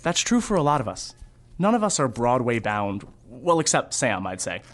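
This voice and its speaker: An actor playing a candid, wry theatre costume designer and Vietnam veteran in an audio drama, telling things in a measured, reflective way. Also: male voice, medium pitch, very animated, fairly bright tone. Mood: earnest, reflective. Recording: music behind the voice.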